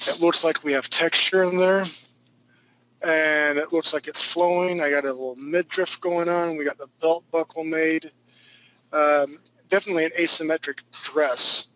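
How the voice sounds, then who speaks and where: Male drawing instructor in his thirties, bright, slightly high voice, chatty and joking, faint hiss behind. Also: poor audio. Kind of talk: live drawing class narration.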